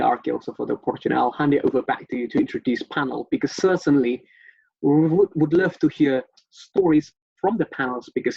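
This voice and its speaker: A man with a gravelly, husky voice finishes his briefing on an online panel and hands over to the moderator. He speaks informally and drops in some Indonesian phrases. The sound is dry and muffled, like a video call. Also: mid-range voice, no echo. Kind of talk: closing a presentation. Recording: dry, dull lo-fi audio.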